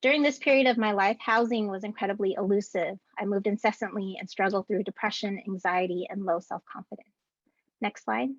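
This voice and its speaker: Earnest woman giving a measured speech. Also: medium pitch, steady tone, dry recording. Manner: measured, earnest, deliberate delivery with rhythmic lists. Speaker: keynote speaker and educator. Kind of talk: prepared speech at a scholarship ceremony. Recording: dull, dry video-call audio.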